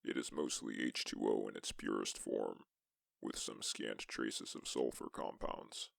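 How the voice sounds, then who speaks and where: An extremely low voice, thick with vocal fry, a man playing a solitary space explorer. He narrates a sci-fi suspense tale in the first person, measured and deliberate. The sound is dry and close, with no room around it. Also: fairly even intonation, fairly bright tone, no echo, heavily acted. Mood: measured, solemn. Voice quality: gravelly, creaky. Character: lone male astronaut explorer recounting a tense exoplanet mission.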